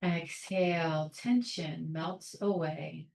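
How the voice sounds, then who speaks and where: A woman leading a guided meditation in a calm, soothing, measured way, leaving long pauses between short phrases. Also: deep voice, creaky, echoey room, rough recording. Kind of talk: guided meditation, soft instruction.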